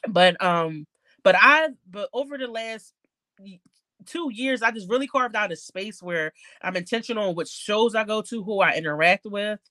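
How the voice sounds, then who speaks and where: A woman talks casually as an interview guest, candid and free with slang and swear words. Her voice is mid-pitched and a little stuffy in the nose. The sound is dry and close, with very little room. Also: moderate pace, sharp tone, dry recording. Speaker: podcast guest, card collector.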